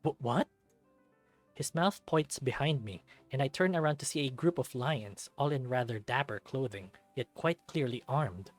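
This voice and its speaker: A man's voice: mid-range voice, normal speed, hardly any pauses, faint music behind. A man reading a first-person story aloud in a dramatic narrating style, as for a visual novel.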